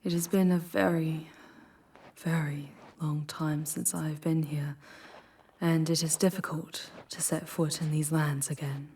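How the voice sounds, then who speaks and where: A charming female demon , acted by a woman, guides a wanderer in an ASMR fantasy roleplay. Her low voice moves slowly and intimately, proud but tender, often softening to a breathy whisper. Faint ambient noise sits behind her. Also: little pitch movement. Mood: vulnerable, wistful.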